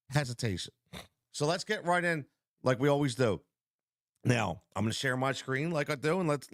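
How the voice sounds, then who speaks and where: A man hosting a live sports betting show, energetic and repetitive, talking straight to viewers while congested from a cold. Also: high voice, no echo, clean studio sound. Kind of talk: live-stream betting talk.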